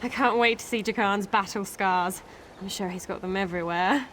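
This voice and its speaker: Game role: boastful young pirate woman, played by an actress, medium pitch, keen and adventurous. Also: normal speed, fairly bright tone, slight background noise, close to an everyday voice. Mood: smitten. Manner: eager, boastful. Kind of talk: video game character dialogue.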